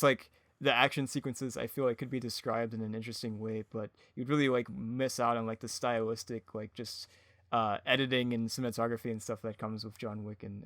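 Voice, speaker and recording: Man, average pitch, casual podcast conversation, hesitant, rambling, filler-heavy, dry recording. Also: fairly bright tone, no echo. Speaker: audio drama creator, podcast speaker.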